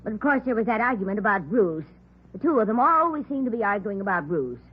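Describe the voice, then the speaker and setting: A woman's voice: medium pitch, very animated, quick pace, slightly rough. An actress playing a talkative, outspoken maiden lady in her sixties in an old radio drama, fussy and exclamatory. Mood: indignant, gossipy. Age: 60s. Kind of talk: 1950s radio drama acting. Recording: tinny, muffled old-time radio recording.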